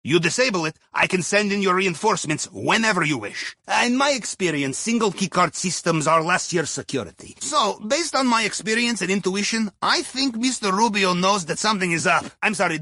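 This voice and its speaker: An actor playing a wry, jovial Russian submarine captain who guides a heist crew in a video game, with a Russian accent and clipped broken English. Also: male voice, high-pitched, pushed and bright, husky, dry recording, studio quality, exaggerated voice. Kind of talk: scripted video game radio dialogue.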